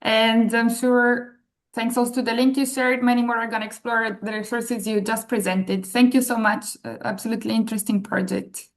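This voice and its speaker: Woman moderator, fairly high voice, courteous, on a video call. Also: flat delivery, moderate pace. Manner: polite and thankful. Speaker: session moderator. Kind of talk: online conference moderation.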